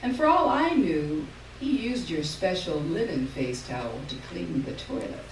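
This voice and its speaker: A woman reading a literary short story aloud at a live event, expressive, with emphasis on key words and pauses between short phrases. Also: fairly low pitch. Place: live stage reading in a room. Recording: roomy, distant mic, faint noise.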